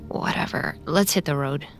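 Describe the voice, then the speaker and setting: A woman playing an ageless, jaded female vampire in an audio drama, dry, haughty and deadpan. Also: low-pitched. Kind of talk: naturalistic audio drama acting.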